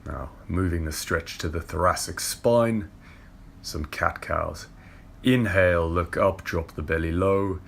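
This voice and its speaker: Low, gravelly young man instructor. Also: a little slow, a little hiss or hum. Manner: calm, steady cueing of breath and movement. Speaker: yoga and movement instructor. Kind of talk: guided workout instruction. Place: indoor car park with brick wall. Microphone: far camera.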